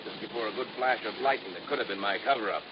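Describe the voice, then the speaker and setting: A man's voice: on the low side, very fast, natural voice. An actor playing a menacing, gloating madman killer in an old radio crime drama, threatening and taunting. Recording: muffled, very noisy vintage radio recording.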